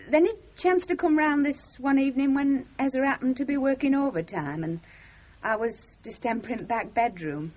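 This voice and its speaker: An actress playing an aggrieved, chatty middle-aged working-class wife with a Northern English accent in a radio comedy drama. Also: female voice, a little high, slight background noise, lightly acted. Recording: muffled 1970s radio drama recording.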